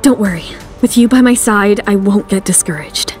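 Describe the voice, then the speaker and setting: A woman voicing a determined, guarded model in her twenties, earnest and measured, in an audio drama. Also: mid-range voice, voice pushed down, close to an everyday voice. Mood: soft. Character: determined, guarded twenty-six-year-old female model. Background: background music.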